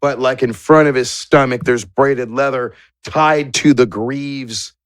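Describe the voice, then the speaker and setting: A man narrating a tabletop game as game master, searching for words in drawn-out descriptive phrases. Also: medium pitch, steady tone, a bit bright, clean tone, no echo, studio quality.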